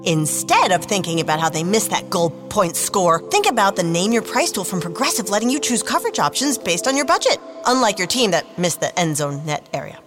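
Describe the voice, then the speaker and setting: A woman's voice: medium pitch, sing-song, fairly quick, hardly any pauses, music in the background, lightly acted. An actress playing a chipper, quirky, sports-clueless saleswoman in a comedic radio commercial, perky and chatty. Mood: chipper, cheerful.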